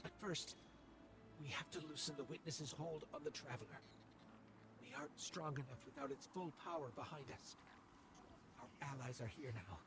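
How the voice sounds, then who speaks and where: A man voicing an earnest, brave little robot companion in a video game, speaking sincerely and a little haltingly. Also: fairly high pitch, lively intonation, over music, natural voice. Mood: earnest hope. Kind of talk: scripted dramatic game dialogue.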